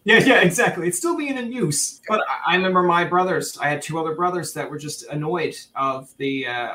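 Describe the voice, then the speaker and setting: Male podcast host from Newfoundland, casual and rambling, bright edge to the voice. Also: fairly high pitch, a little hiss or hum, low-quality recording. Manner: chatty and rambling, with many restarts and laughs. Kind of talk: casual interview chat.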